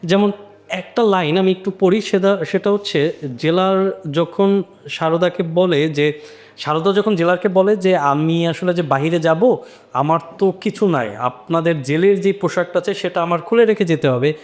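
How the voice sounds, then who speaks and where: A man's voice: high voice, moderate pace, a little mellow. A man speaking as a panelist in a literary discussion, explaining his points and illustrating them with quotes. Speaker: discussion panelist and audio drama maker.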